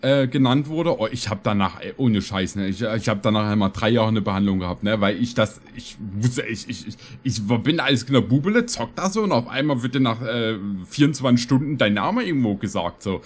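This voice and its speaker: Guy streaming from his room, slangy reaction chatter, repeats himself a lot, average pitch. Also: lively intonation, fairly quick, few pauses. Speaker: reaction video host. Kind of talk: casual, rambling reaction commentary. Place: small room at home, streaming setup.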